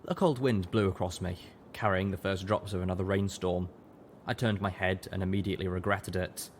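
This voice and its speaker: A man's voice: sits low, fairly even intonation, fairly quick, slight background noise. A man reading scripted first-person story narration as an audiobook narrator. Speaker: audiobook reader, show narrator.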